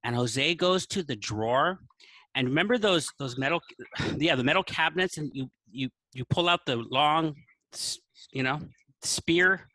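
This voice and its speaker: A man's voice: a little high, animated, unhurried, sharp tone, no echo, poor audio. A man telling an anecdote in a casual podcast style, acting out the dialogue as he goes. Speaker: podcast guest, teacher. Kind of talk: casual storytelling.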